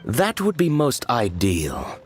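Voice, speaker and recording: An actor playing a coldly threatening agent of a powerful faction in a video game, formal and measured, full of veiled menace. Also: male voice, medium pitch, wildly swooping pitch, faint music behind. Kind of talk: video game villain acting.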